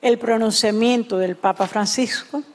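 A woman's voice: a little high, steady tone, moderate pace. Earnest woman interpreting a sermon.

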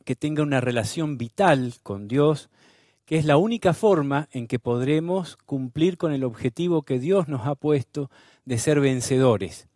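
Unhurried man hosting. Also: medium pitch, a little mellow, no echo, clean studio sound. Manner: unhurried, with many pauses and fillers. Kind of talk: devotional interview intro.

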